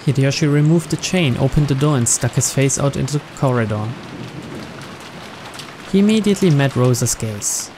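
A man's voice: mid-range voice, lively intonation, moderate pace, noisy background. A man reading visual novel narration aloud in a storytelling style. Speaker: narrator, let's player.

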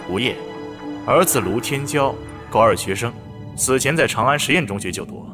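Guy playing serious cop reading out a case, formal and measured, radio play with music under. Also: medium pitch, lightly acted. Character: serious male police officer briefing a murder case. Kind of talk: formal case briefing in an audio drama.

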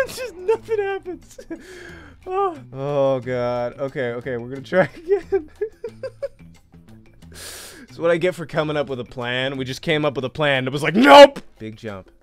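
A young man hosts a let's play at a close mic in a room at home, with music behind him. His delivery swings widely in pitch, full of exclamations and repeated phrases. He takes his time between bursts, in an unhurried rhythm. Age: young adult. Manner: animated, exclaiming, repeats phrases while playing. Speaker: gaming video host. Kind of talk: excitable let's play commentary. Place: small indoor room at home. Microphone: close desk microphone, headset on.

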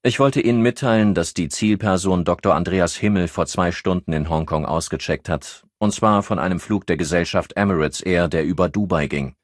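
A man voicing a smug federal police officer who brushes off requests in a brisk, bureaucratic tone. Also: deep voice, no room sound, studio quality. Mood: dismissive.

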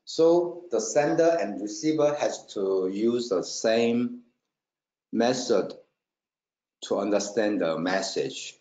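A man presenting a technical webinar in halting non-native English, checking often that listeners follow. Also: lowish voice, animated, dark tone. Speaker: webinar presenter, company marketing trainer. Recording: roomy, dull lo-fi webinar audio.

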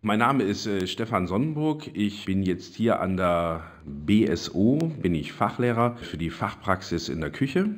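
A man from southwest Germany, a cooking teacher, telling his life story in a relaxed, rambling way. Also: fairly low pitch, unhurried, hardly any pauses.

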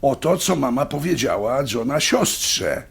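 A man playing an exasperated, suspicious grown son in an audio drama, speaking insistently in a raspy voice. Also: mid-range voice, sing-song, measured pace, exaggerated voice. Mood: insistent, annoyed. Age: adult. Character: exasperated grown son confronting his scheming mother.

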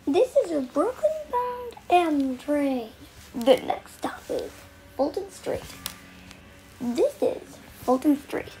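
A woman reading scripted transit announcements in clipped, formulaic, clearly separated phrases. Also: high voice, big pitch swings, takes it slowly, dark tone. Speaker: train announcer. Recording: noisy recorded train announcements.

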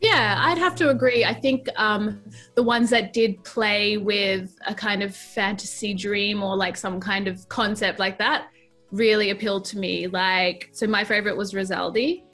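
A woman's voice: medium pitch, fairly bright tone, faint music behind. A woman in her thirties from New Zealand, an enthusiastic guest on a casual craft chat. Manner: enthusiastic, gushing, fast bursts. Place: colourful craft studio set.

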